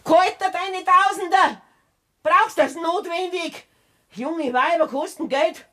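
An actress with a Bavarian accent playing a worn-down farmer's wife in a 1970s radio play, bitter and weary. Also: female voice, very high voice, slow pace, bright, forceful tone, full character voice. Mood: desperate.

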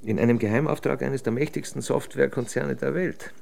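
An actor playing a pedantic, ironic criminal investigator in a German radio drama, lecturing with smug pomposity. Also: male voice, mid-range voice, a little fast, gravelly, a little mellow. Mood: smug, explaining. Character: wry, pedantic male criminal investigator, smugly theorizing.

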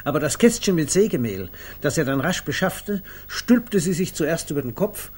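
A man's voice: a little high, big pitch swings, moderate pace, a little mellow, breathy edge. A man narrating a wry literary comedy as a scripted 1950s German radio play.

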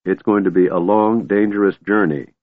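A man's voice: fairly low pitch, steady tone, normal speed, phone-quality sound, natural voice. A man voicing an exasperated, commanding half-elf adventurer in an audiobook, snapping impatiently.

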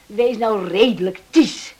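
A woman's voice: medium pitch, takes it slowly, a little mellow, slightly rough. A woman acting a determined, earnest island mother in a Dutch radio drama, speaking insistently. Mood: insistent, pleading. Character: determined island mother pleading to join a sea rescue.

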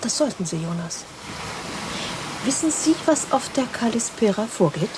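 A self-assured secret agent in her early thirties. Her highish voice moves in lively swings, brisk in a radio drama with clear background noise. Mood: brisk, confident. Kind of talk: radio play acting. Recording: radio play with noisy background.

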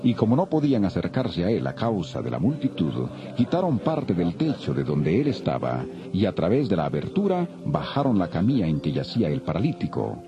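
A man's voice: sits low, wildly swooping pitch, brisk. A man narrating the Bible in a solemn, dramatic, scripted storytelling style. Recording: dull lo-fi, music behind.

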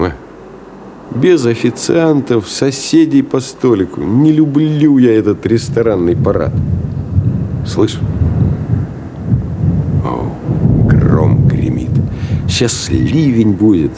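An actor playing a modest, bookish middle-aged bachelor teacher, self-deprecating and colloquial, in a naturalistic Soviet radio play of the 1970s. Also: male voice, fairly low pitch, very animated, slow pace, pauses often, full character voice. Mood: reluctant, gentle. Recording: radio play with clear background noise. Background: car and street traffic ambience.

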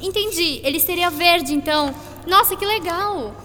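A woman's voice: extremely high pitch, normal speed, bright, forceful tone. A woman playing a curious, candid schoolgirl in a radio drama, speaking informally and inquisitively. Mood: eager. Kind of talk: scripted radio drama dialogue. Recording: hall reverb, clear music bed.

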